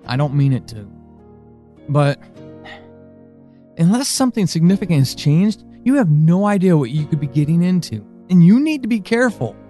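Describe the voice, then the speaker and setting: A man playing an anxious, pleading character in an audio drama monologue, speaking earnestly and haltingly. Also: a little high, warm tone, close to an everyday voice. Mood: worried, urgent. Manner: halting, earnest, with pauses and emphatic swings. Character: anxious, pleading man reaching out to a lost loved one.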